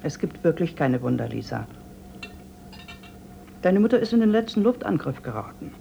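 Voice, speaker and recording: An actress playing the miller's tired wife, speaking quietly and firmly to calm others. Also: female voice, low-pitched, moderate pace, gravelly, highs cut off, a little hiss or hum, full character voice. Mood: weary, firm.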